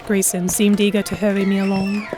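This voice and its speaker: A woman's voice: medium pitch, level tone, fairly quick, music in the background. A woman reading scripted first-person narration for an audio drama.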